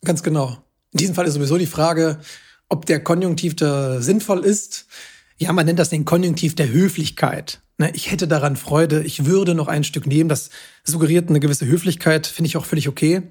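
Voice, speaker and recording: A language nerd at a podcast table, a higher-voiced man with some huskiness, chattily picking over fine points. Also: steady tone, moderate pace, darkish tone, breathy edge. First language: German. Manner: self-confessed language nerd, pedantic yet chatty. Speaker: podcast host explaining grammar. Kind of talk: casual explanatory talk.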